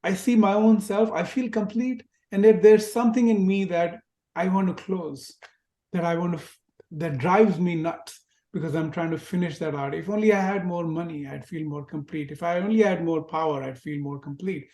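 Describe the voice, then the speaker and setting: A man with an Indian accent giving a reflective spiritual lecture to an online class, asking gentle rhetorical questions. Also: high-pitched, fairly even intonation, normal speed. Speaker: teacher. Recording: roomy echo.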